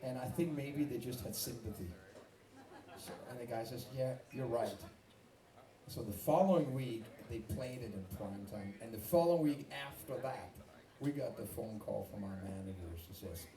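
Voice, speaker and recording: A man's voice: medium pitch, normal speed, mellow. A man with a Danish accent, a rock singer rambling and swearing as he tells a story between songs at a live club show. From Denmark. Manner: rambling, swearing, self-mocking. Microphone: stage microphone through PA. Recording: roomy live club sound from far off.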